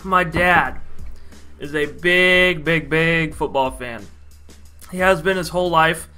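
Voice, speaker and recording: A man's voice: fairly high pitch, slow, bright, forceful tone, clean tone, soft background music. A young man from Idaho with an American accent, casually telling personal stories to camera in a chatty, showman-like way. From Idaho, United States. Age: young adult. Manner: chatty, addresses viewers like a showman. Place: small room at home.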